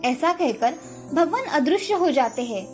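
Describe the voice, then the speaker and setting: A woman delivers scripted storybook narration. Her voice is notably high-pitched. Light music plays in the background. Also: lively intonation, unhurried, a little mellow.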